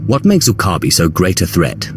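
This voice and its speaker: A man's voice: low-pitched, wildly swooping pitch, normal speed. A man playing a commanding, ruthless Sith lord in a video game, with a curt, menacing and imperious manner. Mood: cold, commanding. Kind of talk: dramatic video game dialogue. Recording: very loud music behind.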